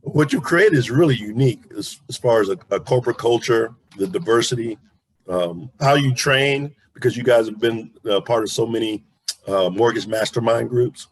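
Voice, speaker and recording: A middle-aged man with a husky voice, hosting an interview in an informal, self-deprecating, conversational way. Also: mid-range voice, moderate pace, no room sound, low-quality recording. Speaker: interview host.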